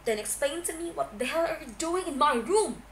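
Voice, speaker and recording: Brief: woman playing young male executive in audio fan fiction; cold, gruff, curt, accusing; noisy home recording. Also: high voice, expressive, brisk, fairly bright tone, reverberant, pitched above the performer's own voice. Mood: angry, scornful. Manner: curt, demanding. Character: cold, suspicious young businessman, angry and accusing. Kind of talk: dramatised fan fiction reading.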